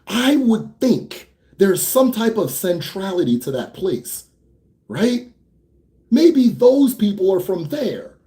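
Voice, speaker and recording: A young American man hosting a casual Q&A for viewers. He talks bluntly, swears freely, rambles a bit, then slows down to explain. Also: high-pitched, dark tone. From United States. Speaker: host answering viewer questions. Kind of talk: casual livestream Q&A.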